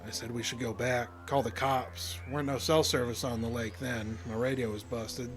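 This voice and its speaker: A man's voice: mid-range voice, flat delivery, moderate pace, music in the background, natural voice. An American man playing a weary, plainspoken fishing guide with a folksy, uneasy manner in a horror audio drama. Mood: grim, recounting. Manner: folksy, ungrammatical storytelling.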